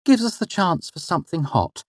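Brief: a male narrator voicing a firm, coaxing mother, lifted higher, dry close sound. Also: very animated, normal speed, fairly bright tone, no echo. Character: firm, wise mother, a traveling actress.

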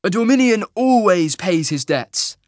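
A man's voice: high voice, normal speed, a bit bright, no room sound, clean studio sound, voice pushed up. A man voicing an earnest, proud male traveller with a formal, insistent way of speaking. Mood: brisk.

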